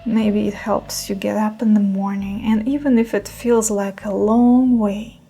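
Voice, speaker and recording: A woman leading a guided meditation in a soothing, unhurried manner with gentle pauses. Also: medium pitch, flat delivery, faint music behind.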